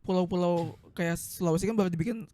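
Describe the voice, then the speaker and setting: A man's voice: high voice, fast, warm tone. A man co-hosting a casual podcast chat who explains a point step by step, now and then hedging on his facts. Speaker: podcast co-host.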